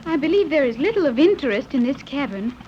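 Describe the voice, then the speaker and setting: Very high-voiced, prim young adventuress. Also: female voice, big pitch swings, fast, a little mellow. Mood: cautious, composed. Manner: formal, stiffly precise, uncontracted speech. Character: earnest, cautious, prim young female adventurer. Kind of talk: 1930s radio serial acting. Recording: muffled, noisy vintage 1930s radio recording.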